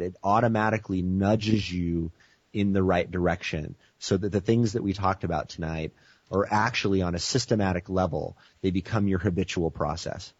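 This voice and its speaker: An American man as a guest on a teleconference interview, speaking with enthusiastic sales-pitch energy and frequent "you know". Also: a little mellow, husky, dry recording, dull audio.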